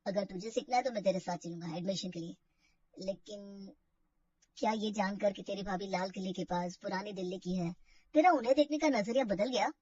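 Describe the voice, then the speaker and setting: A woman voicing a calm, protective young husband and older brother in a family drama story, speaking in a measured way. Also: mid-range voice, breathy edge, no room sound, dull audio, voice pushed down, lightly acted. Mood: calm, testing.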